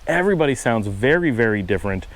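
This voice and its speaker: Fast-talking man with a Buffalo accent. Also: medium pitch, sing-song, poor audio. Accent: Buffalo-Cleveland American. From upstate New York. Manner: fast-talking, trying to slow down. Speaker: vlogger. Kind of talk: casual walking vlog. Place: outdoors on a windy street.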